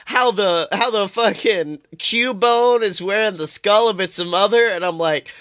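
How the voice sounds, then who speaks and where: A man co-hosting a podcast in casual comedic chat, swearing freely with animated exclamations. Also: high-pitched, measured pace, smooth. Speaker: podcast co-host.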